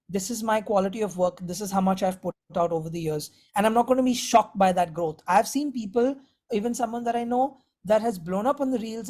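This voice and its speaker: A man's voice: high voice, darkish tone. A man giving conversational answers as a musician being interviewed. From India.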